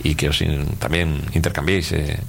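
A man's voice: extremely low pitch, level tone, moderate pace, creaky, a little hiss or hum. A middle-aged man with a Castilian Spanish accent, interviewing as a radio host in a chatty, rambling way and often ending phrases with a questioning tag. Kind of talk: casual conversational interview.

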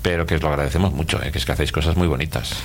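A man's voice: a bass voice, fairly even intonation, racing, slight background noise. A middle-aged man with a Castilian Spanish accent, interviewing as a radio host in a chatty, rambling way and often ending phrases with a questioning tag. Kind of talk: casual conversational interview.

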